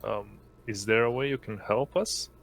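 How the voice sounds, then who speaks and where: A man's voice: fairly low pitch, normal speed, gravelly, fairly bright tone. A man playing a tabletop role-playing game, speaking casually and hesitantly as he searches for words. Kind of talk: casual improvised role-play.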